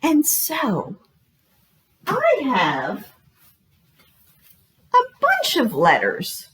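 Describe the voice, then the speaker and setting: A woman hosting a storytime for young children, reading aloud in an exaggerated, encouraging way and pausing after questions to invite guesses. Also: high voice, huge pitch swings, a bit bright. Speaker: children's librarian, storytime host.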